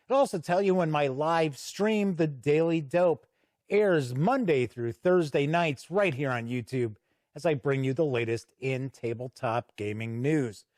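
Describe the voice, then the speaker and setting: A man's voice: a little high, darkish tone, dry recording, studio quality. A man hosting a tabletop gaming channel, giving a chatty, folksy, unscripted product review to camera. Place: indoor home studio.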